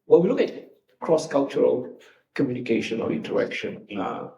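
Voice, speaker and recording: A man in the audience asking a hesitant, halting question at a live talk. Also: mid-range voice, sing-song, gravelly, mellow. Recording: echoey hall, distant mic, faint noise.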